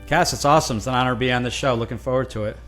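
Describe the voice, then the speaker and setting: Animated man with lots of restarts. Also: medium pitch, rapid-fire, faint music behind. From New Jersey, USA. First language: Italian. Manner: animated, frequent restarts and repeated words. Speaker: guest, franchise consultant. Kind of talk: casual interview conversation.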